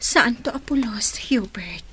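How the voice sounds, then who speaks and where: An actress playing a sweet, deferential young bride in a radio drama. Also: female voice, on the high side, lively intonation, slow pace, bright, forceful tone, breathy edge, heavily acted. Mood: tender. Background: faint music.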